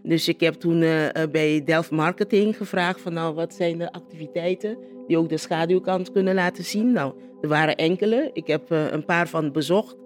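A woman, a city councillor, recalls things in a spontaneous interview, pausing to search for words. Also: low voice, faint music behind.

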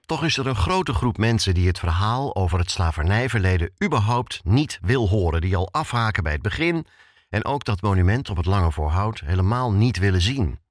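Low, animated male podcast host. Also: normal speed, no room sound, clean studio sound. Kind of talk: scripted podcast narration.

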